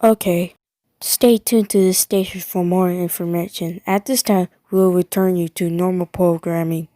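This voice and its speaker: A woman's voice: fairly low pitch, steady tone, normal speed, mellow, no echo, studio quality. A woman reading scripted emergency broadcast announcements in a formal, stilted manner. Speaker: emergency alert announcer and news anchor.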